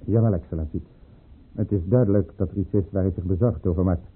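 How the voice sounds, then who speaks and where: Military aide, very low and rough-edged, respectful, formal delivery, muffled vintage radio-drama recording. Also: male voice, fast talker. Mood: dutiful, frank. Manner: formal, matter-of-fact, respectful. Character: dutiful, formal male military adjutant. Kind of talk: radio play acting. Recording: muffled, narrow-band old radio recording.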